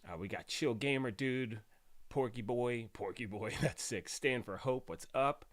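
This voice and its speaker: A man hosting a gaming live stream, talking casually and greeting viewers by name, a bit raspy while getting over a cold. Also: medium pitch, a little slow. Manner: chatty, greets viewers by name, rambling restarts.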